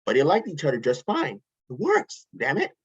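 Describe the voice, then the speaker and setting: A smug, wisecracking villain mastermind played by an actor at a table read, husky and boastful. The pitch swings wildly from line to line. The audio is dull and lo-fi. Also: wildly swooping pitch, moderate pace. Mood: gleeful, defensive. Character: smug, flippant villain mastermind, pleased with himself. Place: remote online table read.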